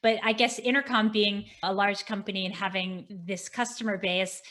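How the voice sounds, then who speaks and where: A woman's voice: medium pitch, fairly even intonation, normal speed, fairly bright tone. A middle-aged woman hosting an interview show over a video call, chatting in an enthusiastic, affirming way about work. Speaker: podcast host interviewing a guest. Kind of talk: interview, casual professional chat. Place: small indoor office, video call. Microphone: desk microphone close in front.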